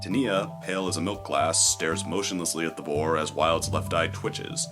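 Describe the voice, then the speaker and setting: A man narrating a scripted adventure story in a measured, descriptive, dramatic storytelling style. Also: sits low, fairly even intonation. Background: music.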